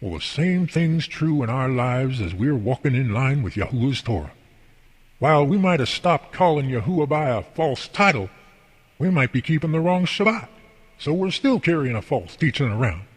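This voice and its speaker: An actor playing a folksy, devout boss and mentor in an amateur radio drama, explaining things earnestly and at length. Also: male voice, mid-range voice, very animated, moderate pace, breathy edge. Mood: earnest, preaching. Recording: long hall reverb.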